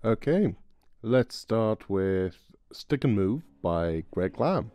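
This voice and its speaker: A man's voice: lowish voice, big pitch swings, slow, warm tone, dry recording. A man co-hosting a podcast, giving a casual introduction. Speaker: podcast co-host and sound designer.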